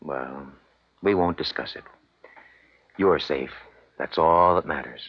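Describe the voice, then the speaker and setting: A man's voice: rumbling low, takes it slowly, husky, full character voice. An actor in a 1950s radio drama playing a grave, commanding middle-aged scientist, a protective father. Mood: concerned. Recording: muffled vintage 1950s radio broadcast.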